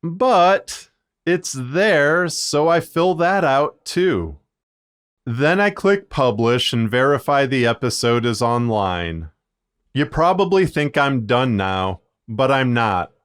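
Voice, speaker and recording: Slow, clear-voiced man narrating a tutorial. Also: mid-range voice, lively intonation, fairly bright tone. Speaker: podcast creator and tutorial narrator. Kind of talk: scripted tutorial narration, conversational.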